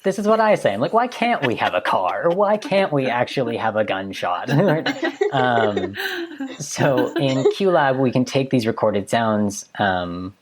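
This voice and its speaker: A high-school student in his late teens, a production assistant, chatting casually and rambling with lots of filler words. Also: big pitch swings, a little slow, crowd noise. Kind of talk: casual chat.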